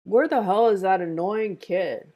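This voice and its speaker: A woman's voice: mid-range voice, measured pace, dull audio, lightly acted. A woman playing an urgent, bossy police agent at a live script table read. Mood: scolding. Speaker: actor reading a part at a table read.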